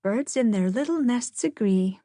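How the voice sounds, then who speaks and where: A woman voicing a shy young girl, a gentle and mild peacemaking sister, in an audiobook. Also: fairly high pitch, animated, a little slow, no room sound, studio quality, voice pushed up. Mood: playful.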